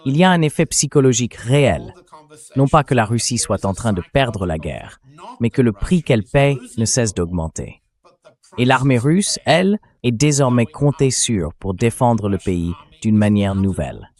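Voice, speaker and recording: A man's synthetic AI dubbing voice giving measured, analytical political commentary in a translated interview. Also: mid-range voice, sing-song, a little mellow.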